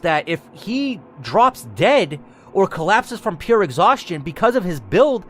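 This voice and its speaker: A man's voice: high-pitched, fairly bright tone. A man narrating a story game dramatically and reacting to its choices with emphatic commentary, as a gaming host.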